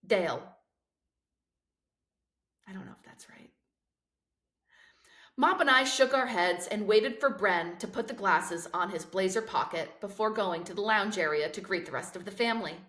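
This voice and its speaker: A woman reading a children's novel aloud in expressive storybook narration. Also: medium pitch, moderate pace. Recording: roomy, echoing sound.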